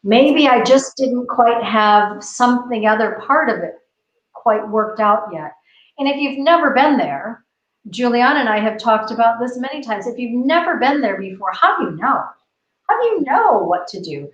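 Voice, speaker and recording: A woman's voice: on the high side, lively intonation, moderate pace. A woman from the United States, co-hosting a casual discussion, talking earnestly and repeating phrases as she builds her point. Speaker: co-host. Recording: roomy, dull lo-fi video call audio.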